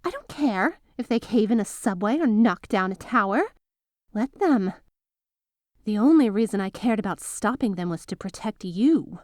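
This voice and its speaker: An obsessive veteran magical girl played by a woman confides in her student in an ASMR audio drama. Her voice is mid-pitched and very dry, as if close by. She is coaxing and lovestruck, a devoted teacher with a possessive streak. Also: expressive, normal speed, darkish tone, no room sound, lightly acted. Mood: bitter, lovesick confession.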